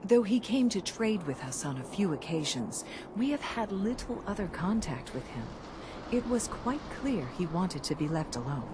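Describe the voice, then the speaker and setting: A woman's voice: medium pitch, big pitch swings, moderate pace, noisy background, close to an everyday voice. A woman playing a solemn, cryptic priestess of a cult in a video game, speaking formally and reverently. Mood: solemn, cautioning. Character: solemn female cult priestess guarding holy ground.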